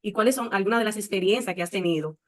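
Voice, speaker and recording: A woman's voice: mid-range voice, fast. A woman moderating a panel, posing questions to the speakers in a courteous, orderly way.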